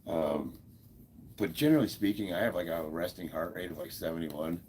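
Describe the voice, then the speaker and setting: A man in his fifties with a deep, gravelly voice, stuffed up, chatting casually on a livestream and answering viewer comments with frequent chuckles. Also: fairly quick, a little mellow, a little hiss or hum. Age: 50s. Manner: laid-back, chuckles often, many fillers. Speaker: livestream host.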